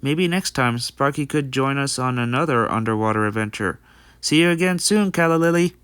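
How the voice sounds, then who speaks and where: A man acts out a friendly young stallion from a fan-made cartoon dub. He is chatty and practical, explaining things earnestly in a clean, smooth voice. The sound is dry and close. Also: mid-range voice, moderate pace, fairly bright tone, no room sound, close to an everyday voice. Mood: friendly, hopeful. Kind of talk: amateur comic dub voice acting.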